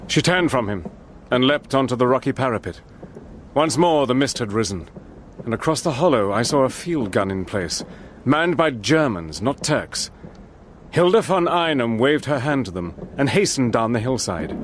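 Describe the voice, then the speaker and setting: A man's voice: mid-range voice, animated, a little hiss or hum, close to an everyday voice. A man playing a grave officer-narrator. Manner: measured and grave, sharpening under fire. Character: resolute British officer recounting his war. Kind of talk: first-person radio drama narration. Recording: radio play with artillery sound effects.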